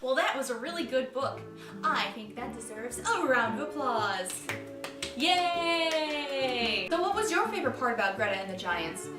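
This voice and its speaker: High-voiced woman reading to young children. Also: measured pace, music in the background. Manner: expressive, explaining things simply with asides to children. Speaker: children's story time host. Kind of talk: read-aloud storytelling for young children.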